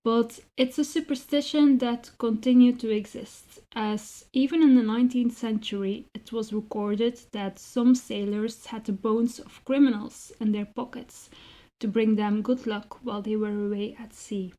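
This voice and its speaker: A woman writer answering interview questions in a measured, articulate and reflective way with rather formal phrasing. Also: fairly high pitch, steady tone, dark tone, poor audio. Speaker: interviewed writer and zine co-founder.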